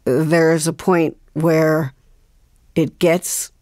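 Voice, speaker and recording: Female interview guest, low register, reflective and hesitant, dry recording. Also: level tone, extremely slow, a little mellow, no echo. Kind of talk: reflective conversation.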